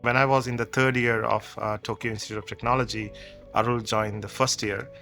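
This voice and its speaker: A man's voice: on the low side, flat delivery, brisk, gravelly, bright, forceful tone, faint music behind. A man, answering interview questions as a company co-founder in a thoughtful, conversational way. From Sri Lanka. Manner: thoughtful, conversational, explains with examples.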